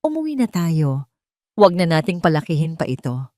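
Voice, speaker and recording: A woman voicing a rich adult son in an audio drama, restrained, terse and quietly firm. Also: low-pitched, very animated, normal speed, no echo, clean studio sound. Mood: controlled.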